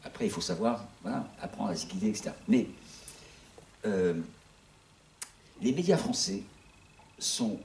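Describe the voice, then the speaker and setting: A man's voice: lowish voice, expressive, a little slow, vocal fry, pauses often, a little mellow, slight background noise. A man in his sixties running an online news outlet, talking casually to a small audience in a rambling way, with many restarts. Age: 60s. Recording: echoey room, voice from far off.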